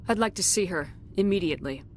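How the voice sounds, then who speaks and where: A woman's voice: medium pitch, lively intonation, fast talker, a little hiss or hum, lightly acted. A woman playing a direct, probing military commander in a video game cutscene. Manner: direct, businesslike questioning.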